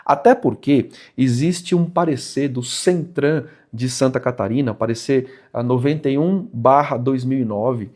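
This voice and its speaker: A man's voice: medium pitch, a little slow, hardly any pauses, darkish tone. A man with a Brazilian Portuguese accent gives explanatory advice to viewers as a vlog host, in an emphatic, didactic way, repeating key phrases for weight.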